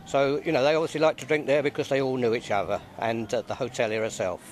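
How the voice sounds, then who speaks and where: A man talks at an even middle pitch, stumbling and repeating words as he explains local history. He is recorded outdoors at an event. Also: fast talker. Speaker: interviewee, society member. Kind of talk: casual outdoor interview.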